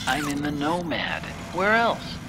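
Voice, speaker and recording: An actor playing a nerdy engineer giving a technical mission briefing over a radio link in a video game. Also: male voice, a little high, unhurried. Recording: game audio with steady noise.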